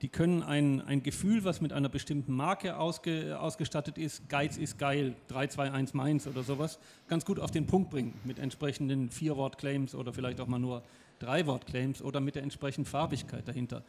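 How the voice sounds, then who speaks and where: A man's voice: mid-range voice, fairly even intonation, fairly quick, vocal fry, darkish tone, a little hiss or hum. A middle-aged man speaking on a stage panel into a handheld microphone, explaining his views with confidence and personal anecdotes. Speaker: panelist, advertising expert. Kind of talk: panel discussion. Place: stage of a lecture hall.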